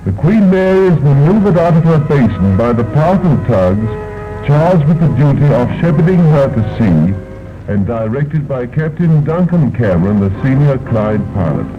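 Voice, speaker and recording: A man's voice: mid-range voice, very animated, moderate pace. A man giving stately, ceremonious 1930s newsreel narration. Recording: tinny old film soundtrack with music.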